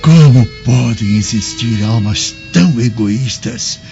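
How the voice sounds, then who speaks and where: Slow, grave Galilean man played by an actor. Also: medium pitch, gravelly, dark tone. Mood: indignant. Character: humble, devout Galilean man, grave loyal companion. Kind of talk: Brazilian radio drama acting. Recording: dull lo-fi radio drama with music.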